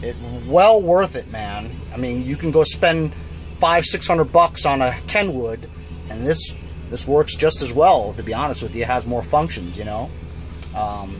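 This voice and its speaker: A man's voice: mid-range voice, animated, normal speed, a little hiss or hum, low-quality recording. A man giving a casual, off-the-cuff gadget review in a laid-back, colloquial way, as a vlogger talking inside a van.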